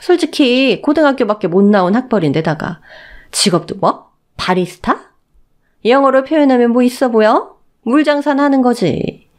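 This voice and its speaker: A woman plays a haughty middle-aged mother-in-law in a radio story drama. She sneers and berates with open scorn, her pitch leaping up and down as she piles on insults. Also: lively intonation, lightly acted. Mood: scornful berating. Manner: sneering, rapid-fire berating.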